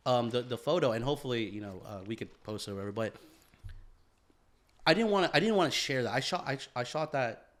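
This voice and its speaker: A man's voice: medium pitch, animated, quick pace, a bit bright. A man co-hosting a casual podcast chat, rambling with lots of fillers and restarts, speeding up when he gets going. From San Francisco Bay Area. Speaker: podcast co-host, photographer.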